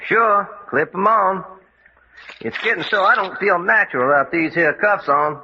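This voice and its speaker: An actor playing a folksy, wisecracking adventurer with an American Southern accent in a radio mystery serial. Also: male voice, high voice, moderate pace, close to an everyday voice. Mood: wry. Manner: drawling, folksy, wry. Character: folksy, wisecracking young adventurer, easygoing and plucky. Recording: noisy, muffled radio recording.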